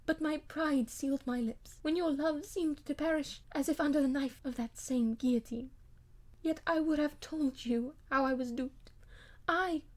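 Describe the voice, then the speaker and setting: Audiobook role: woman reader as young aristocratic wife, high voice, proud yet imploring, vehement, old-fashioned diction. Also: a little mellow, lightly acted. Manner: vehement, pleading, theatrical old-fashioned speech. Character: proud, impassioned young noblewoman pleading with her husband.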